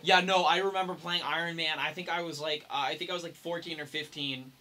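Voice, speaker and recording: A young man co-hosting a casual gaming chat with friends, talking in a halting way with many restarts. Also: a little high, fairly even intonation, pushed and bright. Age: young adult. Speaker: podcast co-host. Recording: noisy hobby podcast recording.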